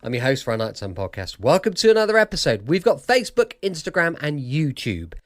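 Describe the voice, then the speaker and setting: A man's voice: fairly high pitch, big pitch swings, brisk, dry recording, studio quality. A man with a British accent chatting informally as a podcast host.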